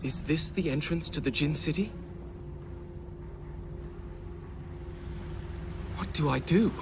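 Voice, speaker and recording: Man playing wry young prince, higher-pitched voice. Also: brisk, very noisy, lightly acted. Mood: wary. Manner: dry, sardonic muttering to himself. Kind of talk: video game voice acting. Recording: loud game music over the voice.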